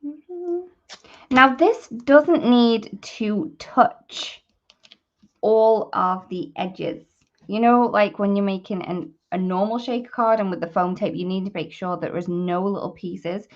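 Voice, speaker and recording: A woman's voice: mid-range voice, slow pace, highs cut off. A woman hosting a live craft tutorial, chatting informally to viewers and thinking aloud as she works. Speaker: host of a live papercrafting demonstration.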